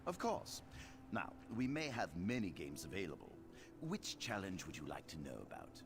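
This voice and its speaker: Grandiose game battlemaster, male, lively intonation, hearty, relishing combat, noisy ambience behind. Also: low voice, normal speed, creaky, full character voice. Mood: grand, welcoming. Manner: theatrical, grandiose. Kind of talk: video game character dialogue.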